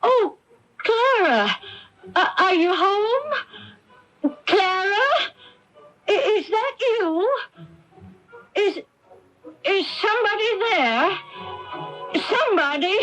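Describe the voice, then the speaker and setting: A fragile middle-aged invalid woman speaks in a very high, plaintive, quavering voice that rises and falls widely. She is anxious and halting, in a radio mystery with music faintly behind her. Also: lively intonation, a bit bright, exaggerated voice, poor audio. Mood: panicked, tearful. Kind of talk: radio mystery drama acting.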